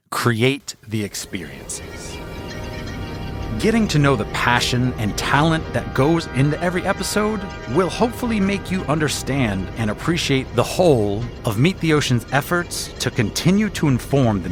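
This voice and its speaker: A man's voice: medium pitch, very animated, normal speed, music in the background. A man hosting a podcast, reading a scripted intro and then chatting eagerly with his team, often tripping over his words. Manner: enthusiastic, self-deprecating, stumbles on words. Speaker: podcast host. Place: home closet.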